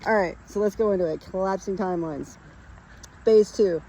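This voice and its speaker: A woman's voice: mid-range voice, normal speed, warm tone, slight background noise, low-quality recording. A woman in her late thirties explaining spiritual ideas in a casual, rambling monologue, emphatic and full of fillers. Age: late 30s. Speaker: spiritual vlogger.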